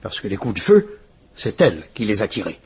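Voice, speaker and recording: A measured police commissioner. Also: male voice, fairly low pitch, huge pitch swings, a little mellow, slightly rough, exaggerated voice. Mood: brisk. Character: calm police commissioner questioning a witness. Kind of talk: 1950s French radio drama acting.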